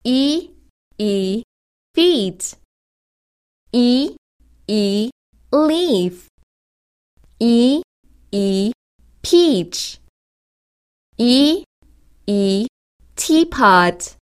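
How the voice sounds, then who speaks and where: A woman reading questions and example words for a children's language drill, with deliberate, drawn-out enunciation. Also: on the high side, expressive, clear, resonant tone, no room sound, studio quality.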